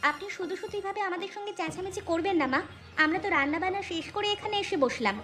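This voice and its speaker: A woman's voice: very high voice, racing, fairly bright tone, faint music behind. A woman voicing a young daughter-in-law, proud and indignant, in a melodramatic cartoon manner. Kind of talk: melodramatic cartoon story acting.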